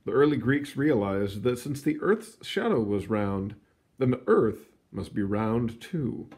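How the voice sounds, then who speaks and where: A man reading a children's science book aloud in an expressive storytelling manner, stretching words for emphasis, with casual asides. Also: mid-range voice. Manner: animated, storytelling delivery with drawn-out emphasis. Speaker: read-aloud reader.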